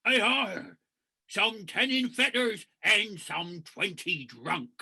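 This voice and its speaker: An eager officer in a parody stage tragedy. His high, piercing voice is creaky and very slow, florid in every phrase. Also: expressive, bright, forceful tone, heavily acted. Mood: solemn, florid. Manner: florid, declamatory. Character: eager grandiloquent officer serving a Justice in mock tragedy. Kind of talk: mock-heroic stage tragedy reading.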